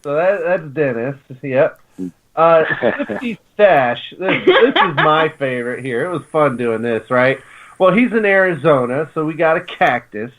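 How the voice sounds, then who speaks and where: A man's voice: on the high side, animated, unhurried, fairly bright tone, a little hiss or hum, poor audio. A man, the show's producer, bantering on a casual radio chat with joking, mock-indignant remarks. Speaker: show producer.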